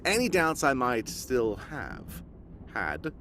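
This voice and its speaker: A man acting a dry, animated radio detective. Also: medium pitch, slow, heavy background noise. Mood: explaining, assured. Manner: formal, explanatory, dry wit. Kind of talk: live old-time radio drama acting.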